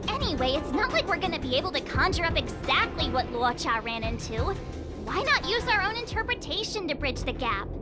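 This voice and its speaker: A bouncy young sleuth. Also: female voice, high-pitched, a little fast. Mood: eager. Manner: bouncy, playful, animated. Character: playful young woman detective, eager and theatrical. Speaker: player character. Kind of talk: game dub dialogue. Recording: game dub over music.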